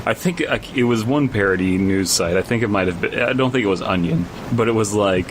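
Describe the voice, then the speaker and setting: Man, deep voice, podcast co-host, casual, halting and rambling delivery, noticeable rumble in the background. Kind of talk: casual chat and reading a horror story aloud with commentary. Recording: rumbling background noise throughout.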